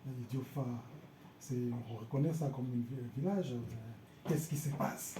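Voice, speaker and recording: A man's voice: mid-range voice, normal speed. A man interviewing a guest, asking questions hesitantly with many fillers. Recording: echoey room with faint noise.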